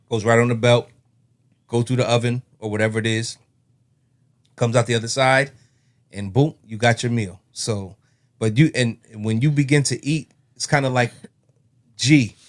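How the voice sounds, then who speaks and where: A man's voice: mid-range voice, fairly even intonation, moderate pace, long pauses. An American man co-hosting a casual podcast, laid-back and rambling, telling stories with many fillers. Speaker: podcast co-host. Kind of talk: casual chat.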